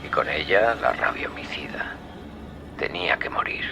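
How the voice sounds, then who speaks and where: An actor with an accent from Spain playing a calm serial killer who recounts his crimes in a measured, sardonic way, in a radio drama. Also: rumbling low, creaky, in a whisper, music in the background, full character voice, poor audio. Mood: cold, brooding. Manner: measured, coldly matter-of-fact, darkly sardonic. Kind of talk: Spanish radio drama acting.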